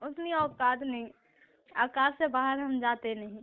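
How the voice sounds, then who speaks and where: High-voiced young woman on phone. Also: a little slow, clean tone. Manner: playful, taunting. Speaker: sister on a call. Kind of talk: casual teasing phone chat. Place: phone call. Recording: tinny narrowband phone call.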